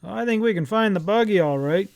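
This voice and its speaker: A man's voice: sits high, lively intonation, a little fast, gravelly, voice pushed up. A man voicing a weary, practical country father in an audiobook, calling out as if over a storm. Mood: weary, worried. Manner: raised, shouting over wind.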